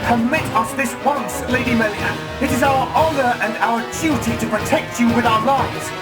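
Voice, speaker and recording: An actress playing a dutiful, selfless guard, formal and earnest, in a video game cutscene. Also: female voice, a little high, moderate pace, loud music behind the voice, lightly acted. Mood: urgent, resolute. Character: dutiful, selfless female guard serving a noble. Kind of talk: dramatic video game acting.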